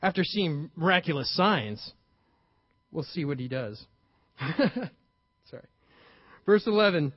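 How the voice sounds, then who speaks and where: A young adult man preaching a sermon, lively and playful, acting out paraphrased dialogue with sudden emphasis. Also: on the high side, slow, long pauses, dry recording. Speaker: pastor. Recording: dull, slightly lo-fi, dry sound.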